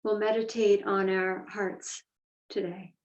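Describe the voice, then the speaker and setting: A woman leads a guided meditation at a medium pitch. She speaks deliberately, leaving space between short phrases. The recording is dull and roomy, with her voice set back from the microphone. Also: flat delivery. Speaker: meditation teacher. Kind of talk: guided meditation, slow instruction. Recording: echoey room, distant mic, dull sound.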